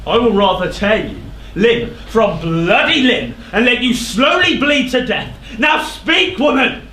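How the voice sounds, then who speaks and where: A man's voice: very high voice, sing-song, measured pace, exaggerated voice. A man playing a proud, wrathful king in a stage tragedy, imperious and declamatory as he demands the truth. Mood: furious, threatening. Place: indoor theatre stage. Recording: echoey theatre stage with loud noise.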